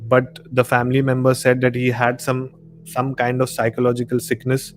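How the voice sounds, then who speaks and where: A man's voice: mid-range voice, completely flat delivery, moderate pace, soft background music. A man with an Indian accent hosting a casual live discussion, rambling a little and repeating words for emphasis. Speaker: live stream host. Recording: clear background noise, room ambience.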